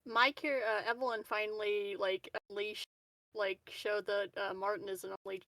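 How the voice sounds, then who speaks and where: A woman chatting casually as a player in a tabletop game, in a halting, giggly way. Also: mid-range voice, flat delivery, pushed and bright, no room sound. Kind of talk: casual chat.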